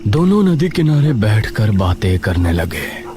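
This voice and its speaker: A man's voice: fairly low pitch, big pitch swings, measured pace. A man narrating a scripted horror story in a dramatic storytelling style. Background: music.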